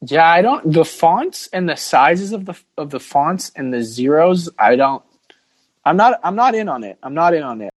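A man's voice: a little high, sing-song, moderate pace, slightly rough. A man hosting a casual sports podcast, talking bluntly and informally and putting questions to the others. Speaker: podcast host.

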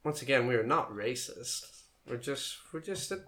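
A man co-hosting a casual podcast. He talks in a playful, joking way, with a voice of middle pitch that leaps up on a punchline and then fades into quiet asides. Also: lively intonation, moderate pace. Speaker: podcast co-host.

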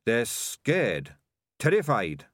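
Man voicing a grave, sensitive rat, mid-pitched. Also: huge pitch swings, extremely slow, a bit bright, no room sound, studio quality, full character voice. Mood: grave, fearful.

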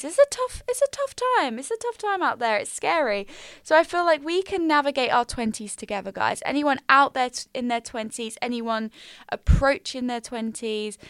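A woman of twenty, hosting a casual solo podcast in a small quiet room, candid and upbeat with slangy asides. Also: fairly high pitch, smooth, dry recording, clean studio sound. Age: 20s. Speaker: podcast host. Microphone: studio mic.

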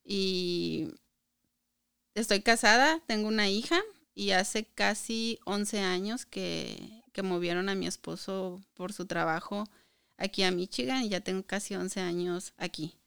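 A woman's voice: medium pitch, a little slow, creaky, a bit bright, clean tone, no room sound, studio quality. A woman in her thirties or forties with a northern Mexican Spanish accent, chatting casually as a podcast guest. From Chihuahua, Mexico. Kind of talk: casual conversational interview.

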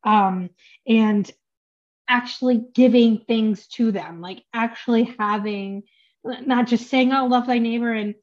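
A woman's voice: a little high, steady tone, takes it slowly, darkish tone, muffled recording. An American woman hosting a podcast, chatty and full of restarts, laughing between phrases. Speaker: podcast host. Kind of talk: podcast interview.

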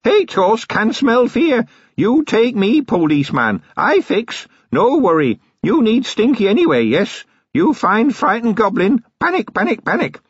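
A man's voice: high-pitched, wildly swooping pitch, measured pace, slightly rough, dry recording, highs cut off, voice pushed up, heavily acted. A man voicing a small, wily male goblin with a cracked, hissing voice and clipped, broken speech. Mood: sly urgent.

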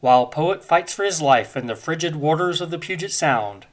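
A man's voice: on the high side, lively intonation, normal speed, close to an everyday voice. A man playing a dramatic announcer narrating a 1930s radio serial.

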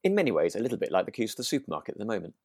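Low, creaky-voiced man chatting. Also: sing-song, racing, darkish tone, no room sound, studio quality. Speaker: podcast co-host. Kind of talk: relaxed casual chat.

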